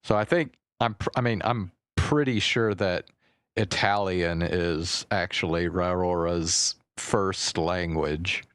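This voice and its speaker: A man's voice: deep voice, a bit bright, smooth, no room sound, studio quality. A man chatting casually on a stream, hesitant, full of likes and broken-off words. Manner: slow and hesitant, with many likes and restarts. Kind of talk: casual chat.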